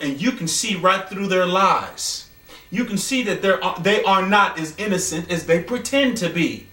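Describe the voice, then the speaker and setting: Slow, high-pitched man storytelling. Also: bright, forceful tone. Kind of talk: improvised spoken storytelling. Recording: roomy echo, distant from microphone.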